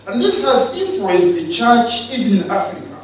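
A man from Uganda giving a measured, lecture-style talk on the church's role in society, pausing and restarting his phrases. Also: very high-pitched, big pitch swings, a little hiss or hum. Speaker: speaker on church and state. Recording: echoey room from far off.

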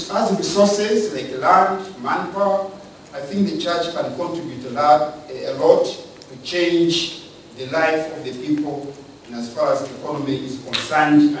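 A man from Uganda giving a measured, lecture-style talk on the church's role in society, pausing and restarting his phrases. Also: fairly high pitch, slight background noise. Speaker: speaker on church and state. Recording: echoey room from far off.

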